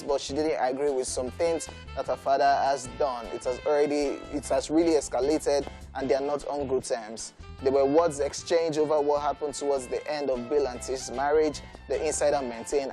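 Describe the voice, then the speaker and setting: A man's voice: a little high, mellow. Stammering Nigerian man co-hosting. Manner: stammering, repeats words with fillers in chat. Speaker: entertainment show co-host. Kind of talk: casual chat, then reading news.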